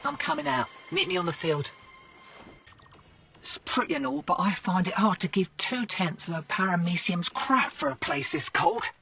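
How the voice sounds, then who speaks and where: An actress playing a cocky, slangy resistance field agent in a video game. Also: female voice, fairly low pitch, animated, normal speed, bright, forceful tone, breathy edge, distant mic, full character voice. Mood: sardonic, businesslike. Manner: brash, slangy. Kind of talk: video game mission dialogue.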